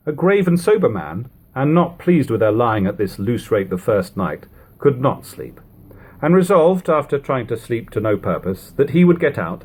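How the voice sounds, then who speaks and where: A man reading an old literary narrative aloud expressively, in measured phrases with emphatic stresses and a husky voice. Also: mid-range voice, darkish tone. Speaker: audiobook reader. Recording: homemade lo-fi reading.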